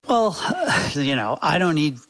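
A man's voice: fairly high pitch, sing-song, slow pace, a bit bright. A man speaking as an interview guest, explaining things in an informal, conversational way peppered with filler phrases. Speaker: guest author.

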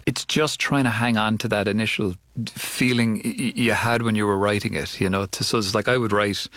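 A man with a Cork Irish accent speaks in a fairly low voice as an interview guest. He talks thoughtfully and often stops to repeat words and restart his sentences. The sound is dry and close. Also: steady tone, a little fast, fairly bright tone, no echo. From Ireland (Cork). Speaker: filmmaker guest. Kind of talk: interview answers.

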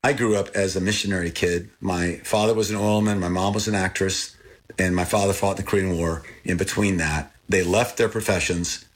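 Earnest older man, husky low voice. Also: brisk. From born in Texas, raised in Thailand. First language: Thai. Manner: earnest, animated when recalling, repeats and restarts. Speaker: interview guest. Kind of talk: reflective interview talk.

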